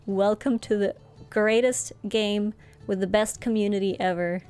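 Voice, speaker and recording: A woman's voice: medium pitch, steady tone, measured pace, a little mellow, clear, resonant tone, soft background music. A young woman whose first language is Norwegian, chatting casually with viewers while she streams a game. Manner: chatty and friendly, laughs often. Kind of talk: casual live-stream chat, reading chat aloud.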